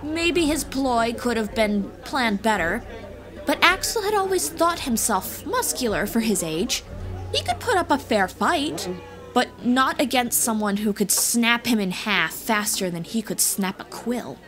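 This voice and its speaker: A woman's voice: fairly high pitch, big pitch swings, measured pace, fairly bright tone, some chatter behind. A woman reading scripted fantasy audiobook narration as the storyteller. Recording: music bed behind voice.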